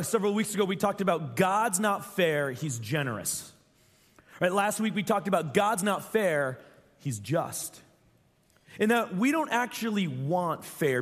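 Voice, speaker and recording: A young adult man preaching a sermon as pastor, his voice scratchy and strained, talking earnestly and repeating phrases for emphasis. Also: fairly high pitch. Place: large church hall. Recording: long reverb from a church hall.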